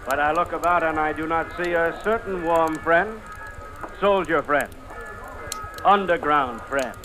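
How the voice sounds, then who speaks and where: An actor playing an insinuating, accusing village man with deliberate, formal phrasing in a 1950s radio drama. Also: fairly high pitch, music in the background, natural voice. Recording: noisy old radio broadcast recording.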